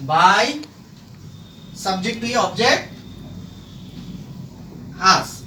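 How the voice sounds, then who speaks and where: A man teaching a grammar lesson at a board, speaking in deliberate, halting, emphatic phrases, recorded from a distance in an echoey room. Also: high-pitched, expressive, pushed and bright. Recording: roomy echo, distant mic, faint noise.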